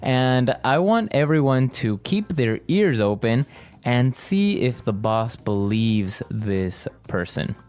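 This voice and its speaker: A man co-hosting a conversational language lesson podcast, casual and explaining things clearly. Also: medium pitch, lively intonation, slow pace, a little mellow. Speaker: podcast co-host and language teacher.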